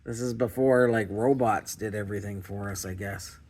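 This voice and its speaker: Low-voiced Canadian man rambling casually. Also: steady tone, moderate pace, a little mellow. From Canada, small-town Ontario. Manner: rambling, hesitant, self-effacing. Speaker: scrap recycling vlogger. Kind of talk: casual unscripted vlog talk.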